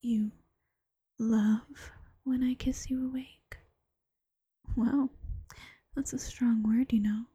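A doting bunny-girl girlfriend, played by a woman, coaxes and teases in a slow, breathy whisper. Her hushed tone is dark and mellow, pitched a little high. The sound is close and dry, with no room around it. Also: fairly even intonation, no echo, full character voice. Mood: teasing, coaxing. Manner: slow, coaxing and teasing. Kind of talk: ASMR roleplay.

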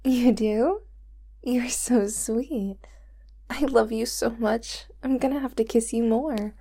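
A woman voicing a doting, possessive young girlfriend who holds her lover captive, talking softly and sweetly in a whispery voice. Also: a little high, takes it slowly. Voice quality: soft and whispery. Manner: sweet, clingy, coaxing. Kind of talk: roleplay audio drama to the listener.

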